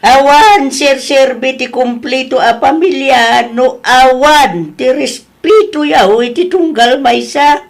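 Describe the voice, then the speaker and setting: A woman's voice: high-pitched, slow pace. A woman acting an older Ilocano mother in a drama, plain-spoken, advising and consoling her grown child. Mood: gentle, resigned.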